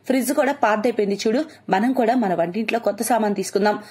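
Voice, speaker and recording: A woman's voice: medium pitch, fast, darkish tone, breathy edge, lightly acted. A woman voicing a discontented, status-hungry young wife in a moral story, in a complaining, insistent manner. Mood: coaxing.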